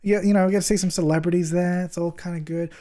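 A young man with a slightly hoarse voice from a cold, giving jokey, chatty commentary while playing a life-simulation game and scolding his characters, recorded on a headset mic at home. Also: sits high, fairly even intonation, fast talker, warm tone. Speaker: gaming YouTuber. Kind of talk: casual let's-play commentary.

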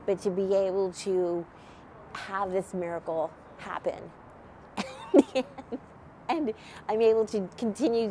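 A woman's voice: lowish voice, slight background noise. A middle-aged woman with a slight nose cold, animatedly telling her story to a close camera outdoors, with lots of fillers. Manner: animated, many fillers, gesturing as she talks. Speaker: nonprofit founder. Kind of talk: casual testimony to camera. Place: outdoors at a church event, near a street.

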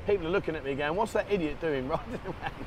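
A man's voice: fairly high pitch, very fast. A man chatting casually, rambling and swearing now and then as he rides a motorbike through town with a handheld camera. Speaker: vlogger. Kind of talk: casual running commentary. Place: outdoors on a motorbike in town traffic, rain. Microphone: action camera held in hand. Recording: street and traffic noise behind.

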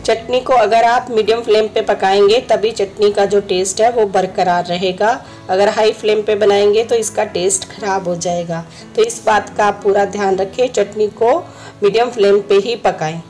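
Woman, slightly hoarse, cooking host, instructive, home kitchen, soft music behind. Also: mid-range voice. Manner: instructive, friendly, repeats steps.

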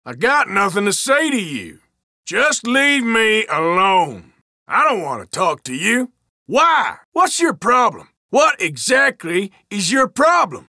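Forceful, drawling Old West outlaw. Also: male voice, high-pitched, wildly swooping pitch, slow pace, no echo, studio quality, full character voice. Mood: indignant, surly. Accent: American Western. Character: suspicious, defiant Old West outlaw man. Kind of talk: video game character voice lines.

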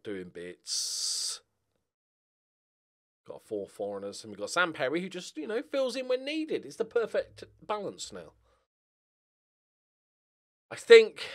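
A middle-aged man giving casual, rambling commentary on a game, with a wry, self-mocking, jokey manner. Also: fairly low pitch. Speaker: gaming video host.

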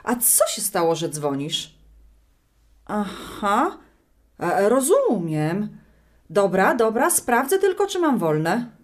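A wry, chatty middle-aged widow acted by a woman in a radio play. She talks colloquially, like someone confiding in friends, and her pitch swings in an animated way. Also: takes it slowly, pauses often, full character voice. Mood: excited, surprised. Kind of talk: audio drama monologue and phone acting.

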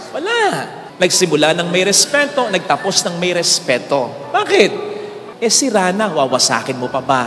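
Animated Filipino priest, high lively voice. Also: male voice. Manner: animated preaching, switching between Tagalog and English. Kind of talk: homily. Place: large church sanctuary, indoors. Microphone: handheld mic. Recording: hall reverb with faint music.

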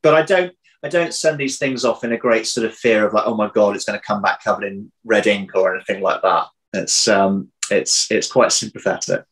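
A man in his thirties chatting casually as an interview guest over a video call, often pausing, repeating words and correcting himself as he thinks. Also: lowish voice, fairly bright tone, rough recording. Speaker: interview guest, audio drama writer. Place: indoor room at home. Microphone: video call, computer mic.

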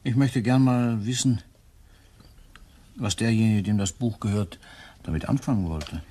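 An actor playing a bold household employee in a crime radio play, respectful on the surface but insinuating. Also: male voice, fairly low pitch, measured pace, dark tone, slightly rough. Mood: matter-of-fact, pointed.

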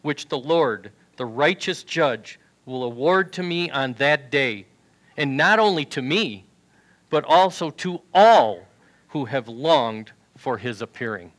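A man in his sixties preaches into a headset mic in a large church sanctuary, his tone bright and forward. He reads scripture aloud with earnest emphasis and speaks at an even, middle pitch. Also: slow pace. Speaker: pastor. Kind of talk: sermon.

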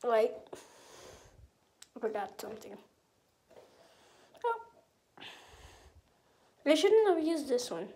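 A woman voicing a playful, easily exasperated shark puppet in improvised play, halting and exclamatory as it talks to itself. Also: a little high, very animated, warm tone. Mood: resigned, muttering. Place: small home bathroom. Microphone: handheld phone or camera.

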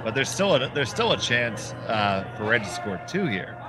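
A man's voice: mid-range voice, very animated, normal speed, music in the background, poor audio. A man with a Philadelphia accent calling a curling match as play-by-play commentator, bantering playfully with his partner. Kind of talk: sports commentary.

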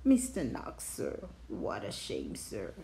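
A woman's voice: very deep voice, huge pitch swings, takes it slowly, mellow, a little hiss or hum, voice pushed down, exaggerated voice. A woman voicing a sly, playful male fox from a storybook, coaxing and teasing insistently. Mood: smooth, coaxing.

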